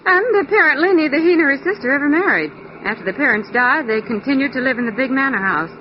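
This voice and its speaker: Casting: quick, sassy girl Friday, pert delivery, dull vintage radio sound with clear hiss. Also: sits high, expressive. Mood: informative. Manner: pert, teasing. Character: pert, quick-tongued young female detective's secretary. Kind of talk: 1940s radio detective acting. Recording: dull, hissy old radio recording.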